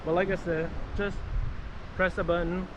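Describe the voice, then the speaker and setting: Male vlogger, higher-than-average voice, informal off-the-cuff demo delivery, windy and noisy outdoor action-camera sound. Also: level tone, moderate pace. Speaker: vlogger demonstrating marine VHF radios. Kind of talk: casual, improvised product demonstration. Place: outdoors by the water. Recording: windy outdoor recording with water noise.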